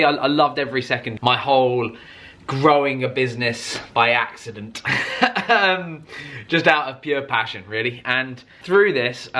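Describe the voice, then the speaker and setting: Bright-voiced, enthusiastic British man telling stories. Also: mid-range voice. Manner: enthusiastic, rambling, sweary, slangy. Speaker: car vlogger telling a story. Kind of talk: casual storytelling vlog.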